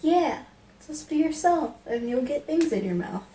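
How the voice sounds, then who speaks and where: A woman co-hosting a casual chat, dropping playful deadpan asides. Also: sits high, unhurried. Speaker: co-host. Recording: distant mic, roomy echo, background hiss.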